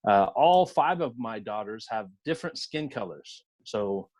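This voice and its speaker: Earnest man with a dry, low voice. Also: animated, measured pace, darkish tone, no echo. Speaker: podcaster, panelist. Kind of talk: online forum introduction. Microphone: video call.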